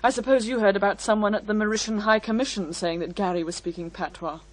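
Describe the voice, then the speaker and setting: A woman's voice: mid-range voice, fairly even intonation, fast talker, close to an everyday voice. A woman in a radio play acting a composed, bitter daughter who speaks in a firm, articulate and scornful way. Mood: firm, explaining.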